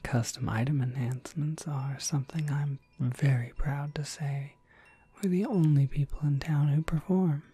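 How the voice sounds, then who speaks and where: A woman playing a gentle, courteous witch shopkeeper in an ASMR roleplay, speaking in an unhurried, breathy whisper. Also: low-pitched, fairly even intonation. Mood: matter-of-fact. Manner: unhurried, polite, softly explanatory. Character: gentle witch shopkeeper, courteous, quietly proud of her craft.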